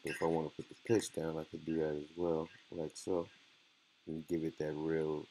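A man giving a casual software tutorial in a laid-back, slangy way. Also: very deep voice, monotone, moderate pace, a little hiss or hum, poor audio. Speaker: music production tutorial host.

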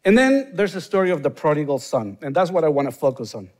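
A man in his forties with a Honduran Spanish accent preaches to a congregation from a church stage, speaking into a body mic. His voice sits somewhat high for a man, and he turns emphatic on his key points. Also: sing-song, fast talker, darkish tone. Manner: earnest, rising to emphatic bursts on key points. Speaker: guest pastor. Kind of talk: sermon with prayer. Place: large church stage, indoors.

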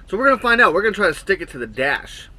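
Chatty trucker rambling through an unboxing. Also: male voice, sits high, very animated, racing, bright, forceful tone. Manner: rambling, chatty, thinks aloud with many fillers. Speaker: trucker reviewing gear. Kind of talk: casual unboxing review. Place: small truck sleeper cab, indoors. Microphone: action camera on the cab wall, built-in mic.

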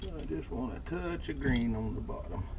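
A middle-aged man giving a relaxed, folksy running commentary as he demonstrates a hands-on craft at his workshop bench. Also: medium pitch, normal speed, lo-fi sound. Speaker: lure painter demonstrating. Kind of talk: casual how-to demonstration. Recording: noisy workshop with airbrush spray.

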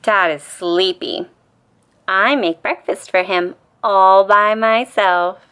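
A woman reading a children's picture book aloud as an expressive, deliberate bedtime story. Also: medium pitch, clean tone. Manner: deliberate, acts out quoted lines in a child-directed way.

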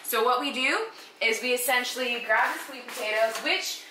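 A woman's voice: fairly high pitch, normal speed, pushed and bright, far from the mic. A young woman walking viewers through her meal prep step by step in an upbeat, casual way, in a small home kitchen on a camera mic. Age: young adult. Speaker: vlogger. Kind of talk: casual cooking vlog walkthrough. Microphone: handheld camera mic. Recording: echoey kitchen with background noise.

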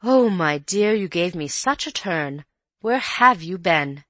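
A woman's voice: on the low side, lively intonation, slow, a bit bright, no room sound, heavily acted. A woman voicing a frightened, scornful older housekeeper aunt with a quavering voice in an audiobook story. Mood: startled, scornful.

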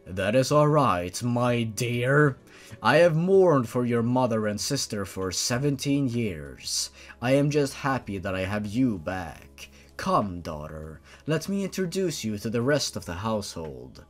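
A man voicing a towering faceless monster father in a storybook reading, formal, stern and paternal, with a deep tone. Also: very animated, a little slow, soft background music. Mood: solemn, tender.

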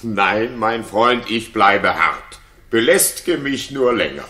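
Slow, forceful brooding king. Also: fairly low pitch, lively intonation, full character voice. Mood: insistent. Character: possessive, brooding king of Sicily. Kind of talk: 1960s German radio drama, verse declamation.

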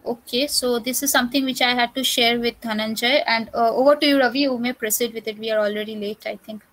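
A woman presenting an unscripted, explanatory software demo in an online meeting, thinking aloud as she goes. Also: on the high side, steady tone, quick pace, no echo. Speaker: presenter at a developer meetup.

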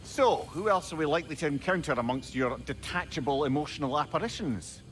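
An actor playing a curious, wry adventuring companion in a video game, speaking with dry inquisitiveness. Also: male voice, a little high, expressive, brisk, fairly bright tone, slight background noise.

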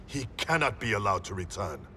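An actor playing a vengeful, grave ninja clan master in a video game, speaking formally and solemnly. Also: male voice, lowish voice, very animated, fairly quick. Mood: grave, intense. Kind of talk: dramatic video game cutscene acting. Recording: loud music behind the voice.

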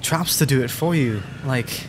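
Casting: male actor as timid young male dragon for ASMR audio drama, slow and halting, rambling apologetically, nature noise behind him. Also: medium pitch, natural voice. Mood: nervous, chatty. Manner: stammering, hesitant, rambling, apologetic. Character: nervous, adorkable young male dragon, injured and frightened.

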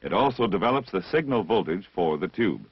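A man reading scripted technical instruction as the narrator of a vintage military training film, measured and deliberate, on a dull old film soundtrack. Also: low voice, sing-song, breathy edge, no room sound. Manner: measured, didactic, deliberate enunciation.

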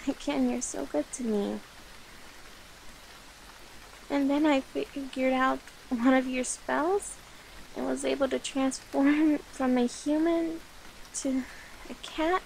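A shy, clingy catgirl familiar, acted by a woman for an ASMR roleplay. The voice is very high and slow, with flustered, halting, pleading delivery. There is some faint noise behind it. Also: steady tone, gravelly, darkish tone, low-quality recording. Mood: shy, affectionate.

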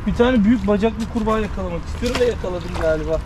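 A man's voice: high voice, very fast. A man in his thirties hosting a fishing vlog, chatting playfully with his son while catching creatures by a stream. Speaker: fishing channel host. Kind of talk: casual outdoor vlog talk to camera. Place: outdoors by a park stream. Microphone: action camera on the body. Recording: outdoor camera audio with steady background noise.

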